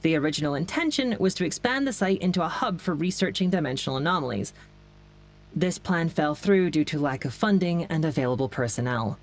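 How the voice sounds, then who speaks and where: An actor narrating an anomaly research file in a formal, measured, detached way, as scripted documentary narration. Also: mid-range voice, expressive, lightly acted.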